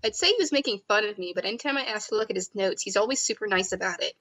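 A woman's voice: medium pitch, rapid-fire, fairly bright tone. A woman reading a visual novel's inner monologue aloud in a wry, chatty way. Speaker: game narrator and player.

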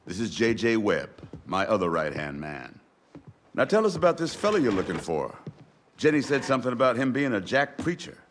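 An actor playing a smooth, sly frontier mayor in a western video game, with an American accent and folksy, flowery phrasing. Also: male voice, fairly low pitch, big pitch swings, brisk, a little hiss or hum. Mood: shrewd, persuasive. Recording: music behind the dialogue.

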